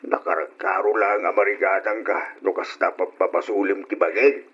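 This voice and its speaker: An actor in an Ilocano radio drama playing an older, sorrowful, consoling husband with a husky voice. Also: male voice, low-pitched, fairly even intonation, moderate pace, fairly bright tone. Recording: dull, muffled radio drama recording.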